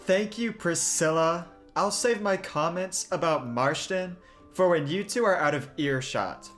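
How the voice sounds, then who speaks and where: A woman's voice: lowish voice, a little slow, soft background music, close to an everyday voice. A woman playing a vain, haughty bard in a fantasy audio drama, theatrical, self-important and dryly sarcastic.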